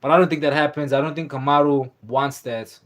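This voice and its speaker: A man's voice: a little high, steady tone, a little fast. A young man hosting a livestream, chatting casually and rambling with many fillers and restarts. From South Africa. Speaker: livestream host. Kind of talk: casual livestream chat about fights.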